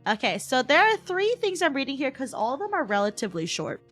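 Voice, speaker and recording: A woman's voice: sits high, big pitch swings, fast talker, a bit bright, faint music behind. A woman livestreamer chatting casually and playfully with her viewers in a giggly, bantering way. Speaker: VTuber livestreamer.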